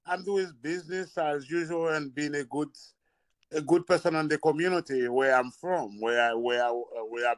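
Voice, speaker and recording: A man, speaking at a public meeting over a call. His voice sits at a middle pitch with a dry sound, and he talks hesitantly, often pausing to find his words. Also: a bit bright, no echo. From Haiti. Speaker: restaurant and bar owner. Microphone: phone or video call.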